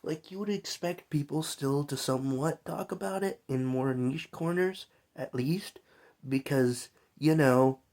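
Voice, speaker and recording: A man's voice: medium pitch, a little mellow. A young man who is sick and coughs now and then, talking in a loose, rambling, slangy vlog monologue full of fillers. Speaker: vlogger.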